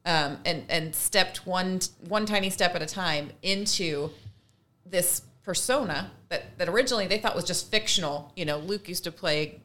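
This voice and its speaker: A woman's voice: fairly low pitch, normal speed, pushed and bright. A woman co-hosting a podcast, explaining her views casually through examples, with wry asides. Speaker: podcast co-host. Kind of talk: casual conversational commentary.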